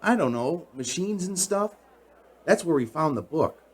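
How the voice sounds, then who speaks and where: A man's voice: fairly high pitch, expressive, unhurried. A man acting in a radio drama as an earnest, shaken young man recounting a frightening night. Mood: uneasy.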